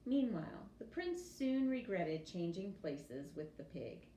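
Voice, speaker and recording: A woman reading a children's story aloud in an expressive teacher's storytelling style. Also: medium pitch, unhurried. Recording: roomy echo with faint background noise.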